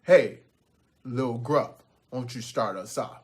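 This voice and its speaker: A man's voice: mid-range voice, slow, a little mellow, breathy edge, voice pushed down. A man voicing a confident big-brother billy goat with a bold, slangy, rhythmic delivery in a told story. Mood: rallying. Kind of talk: theatrical storytelling.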